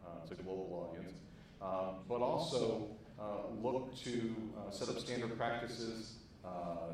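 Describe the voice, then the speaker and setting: A middle-aged man reports back on a breakout group, voice sitting a little low and stumbling through fillers and restarts. He holds a handheld mic in a large, very echoey conference room, with steady noise underneath. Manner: halting, many fillers and restarts. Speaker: conference session presenter. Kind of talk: impromptu summary talk. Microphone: handheld microphone.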